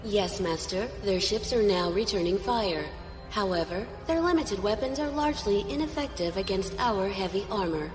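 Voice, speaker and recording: A woman's voice: medium pitch, expressive, close to an everyday voice. A woman playing an obedient, composed ship's aide who reports to her master formally in a space opera audio drama. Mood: dutiful, composed. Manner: formal, measured, deferential. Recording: echoing hall-like reverb, music underneath.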